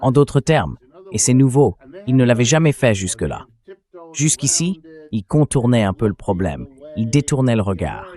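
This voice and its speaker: A man's voice: medium pitch, sing-song, normal speed, dark tone. A man's synthetic AI voice-over delivering conversational, explanatory political commentary as a dubbed interview.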